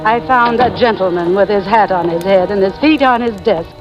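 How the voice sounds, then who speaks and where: An actress in 1940s radio style playing a poised, middle-aged mystery writer with a refined, articulate delivery and dry wit. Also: female voice, medium pitch, normal speed, lo-fi sound, natural voice. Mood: reflective narrating. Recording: vintage radio drama with music.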